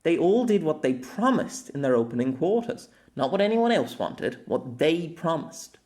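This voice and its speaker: A man narrating a scripted opinion essay as host, in deliberate, formal phrasing with colloquial asides. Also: on the high side, sing-song, a little mellow. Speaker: host of an anime audio essay.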